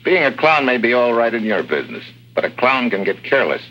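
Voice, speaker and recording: An actor playing a tough, terse American secret agent, clipped and deadpan, in an early 1950s spy drama. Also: male voice, mid-range voice, fast, natural voice. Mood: stern. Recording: dull, noisy vintage 1950s soundtrack.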